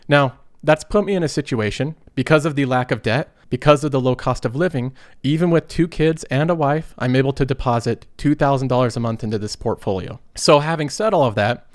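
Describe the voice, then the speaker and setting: A man's voice: mid-range voice, no room sound, studio quality. A thirty-year-old man getting over a cold, explaining personal finance in an earnest, conversational monologue. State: recovering from a cold.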